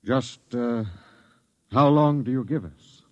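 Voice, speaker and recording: An actor playing a grim, doomed space expedition captain in a 1950s radio drama, solemn and stern. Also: male voice, mid-range voice, takes it slowly, no room sound, close to an everyday voice. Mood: stern, urgent. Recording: dull vintage radio recording with music.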